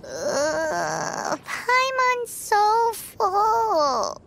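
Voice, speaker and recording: A woman voicing a tiny, perky, blunt childlike fairy companion in a video game, with a squeaky voice. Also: huge pitch swings, very slow, gravelly, fairly bright tone, slight background noise, exaggerated voice. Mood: stuffed, groaning. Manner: speaks of self in third person. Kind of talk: cartoonish video game voice acting. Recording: music playing behind the voice.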